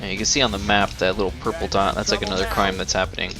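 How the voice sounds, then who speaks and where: A man's voice: low-pitched, level tone, racing, music in the background. A young man giving casual, sarcastic gameplay commentary, with some swearing. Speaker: let's play host.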